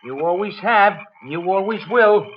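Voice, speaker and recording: An actor playing a cocky, bullying ex-convict blackmailer in an old-time radio crime drama, domineering and needling. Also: male voice, sits high, expressive, moderate pace, a little hiss or hum. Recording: dull vintage radio recording.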